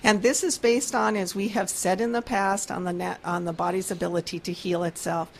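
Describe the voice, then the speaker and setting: Creaky-voiced woman explaining earnestly. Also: lowish voice, level tone, a little fast, a little hiss or hum. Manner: earnest, explanatory, laughs easily. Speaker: guest practitioner. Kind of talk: casual radio chat, explaining.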